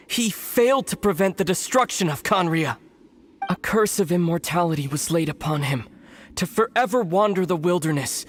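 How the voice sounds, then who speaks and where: A man voicing a solemn, resolute young prince in a video game, speaking in a measured, earnest, wistful way. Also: high-pitched, slight background noise. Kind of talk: dramatic video game acting. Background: music.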